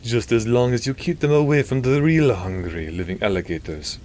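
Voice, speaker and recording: Male reader playing a wry storybook priest, composed and gently teasing, voice lifted, light rain noise underneath. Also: medium pitch, expressive, fairly quick, pitched above the performer's own voice, natural voice. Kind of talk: audiobook character dialogue.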